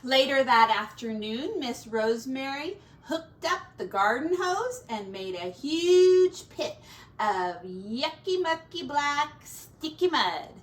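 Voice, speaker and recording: A high-pitched woman reads a picture book aloud for young children, taking her time over the words. She draws out descriptions dramatically and drops in playful asides. The microphone sounds a little distant. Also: lively intonation, slow pace. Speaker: teacher reading a storybook to children.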